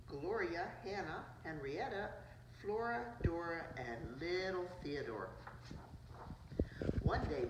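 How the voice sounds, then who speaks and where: Low-voiced woman reading a picture book, distant, noisy. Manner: simple storytelling with asides about the pictures. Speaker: preschool teacher reading to children. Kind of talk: picture book read-aloud. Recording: roomy, distant mic, noisy background.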